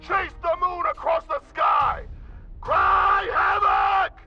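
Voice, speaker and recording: Shouting, high-pitched beastly war leader. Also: male voice, wildly swooping pitch, slow pace, lo-fi sound, over a noisy crowd, exaggerated voice. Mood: frenzied, exultant. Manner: bellowing, declamatory battle oratory. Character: savage bestial war leader, frenzied zealot of the hounds. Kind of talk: dramatic audio drama acting. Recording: band-limited, faint music underneath.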